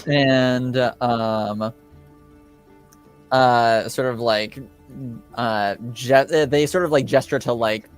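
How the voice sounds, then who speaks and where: A man running a tabletop game as game master, casually improvising narration and often halting as he searches for words. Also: medium pitch, fairly even intonation, clean tone, faint music behind.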